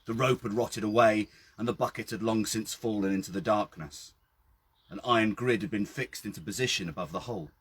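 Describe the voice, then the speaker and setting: A British man with a husky voice reads a literary first-person story outdoors in a measured, expressive storytelling manner. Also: on the low side. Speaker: author reading own story. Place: outdoors by a well.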